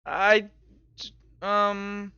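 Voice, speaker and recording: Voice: medium pitch, completely flat delivery, bright, forceful tone, clean tone, no room sound, highs cut off, full character voice. A player voicing a hesitant, loyal teenage street orphan who stammers and halts in a tabletop game. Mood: defensive, halting. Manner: stammering, halting.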